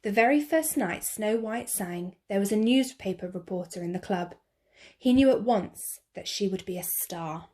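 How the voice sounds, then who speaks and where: A woman teacher reading a picture book aloud to children, slow, clear and expressive. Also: mid-range voice.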